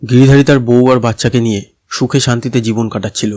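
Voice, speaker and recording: A man's voice: medium pitch, steady tone, fast talker, a little mellow, no echo. A man narrating a scripted cartoon story as its storyteller.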